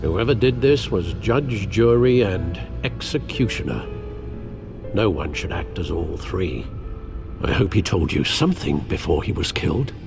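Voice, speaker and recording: A loyal older butler in a game drama. The voice is low and gravelly, with expressive swings of pitch and a formal, wry, solemn manner. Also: male voice, unhurried, music in the background, heavily acted. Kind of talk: cinematic video game acting.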